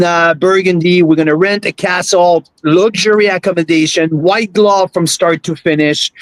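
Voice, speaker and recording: A man co-hosting a wine club livestream on his phone, giving an eager sales pitch in English with frequent grammar slips. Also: dry recording, rough recording. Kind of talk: livestream chat.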